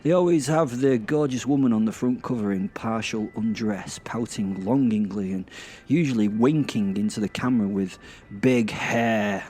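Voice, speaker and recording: A man's voice: lowish voice, darkish tone, slightly rough. A man playing a chatty, irreverent werewolf who narrates a comic horror audio drama in rambling, self-interrupting asides. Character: irreverent, chatty, starstruck male werewolf killer. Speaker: narrator and host. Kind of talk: first-person comic horror monologue. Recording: music behind the voice.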